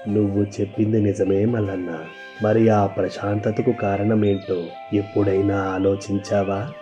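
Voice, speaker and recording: A man voicing a calm, wise swami who teaches gently in an animated moral story. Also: fairly low pitch, measured pace, lo-fi sound, soft background music, lightly acted. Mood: serene.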